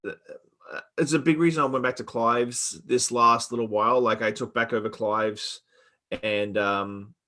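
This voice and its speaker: A man's voice: mid-range voice, steady tone, measured pace, bright, forceful tone. A man who grew up in Australia, hosting a podcast in casual chat and rambling with many fillers and restarts. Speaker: podcast host. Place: Victoria, Canada.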